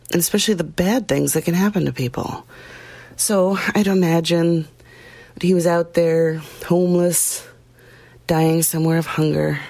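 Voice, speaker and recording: An actress playing a plain-spoken, guilt-ridden small-town woman giving halting, confessional testimony in an audio drama. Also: on the low side, a little mellow. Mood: resigned, pitying.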